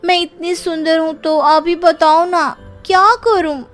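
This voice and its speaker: A woman voicing a pampered, vain young bride who whines in a high, drawn-out way. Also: fairly even intonation, fairly bright tone, faint music behind. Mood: coy, whiny.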